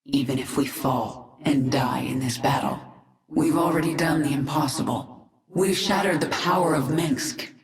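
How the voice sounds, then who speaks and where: A woman's voice: deep voice, a little slow. An actress playing a vengeful, resolute warrior queen in a game cutscene, grave and commanding. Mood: grave resolve. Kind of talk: video game cutscene acting. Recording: strong echo with music behind.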